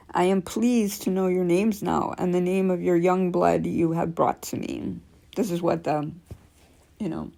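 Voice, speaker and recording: A woman's voice: on the low side, vocal fry, warm tone. A woman with a scratchy voice reading creepy true stories aloud, stumbling now and then and correcting herself, with casual remarks between stories.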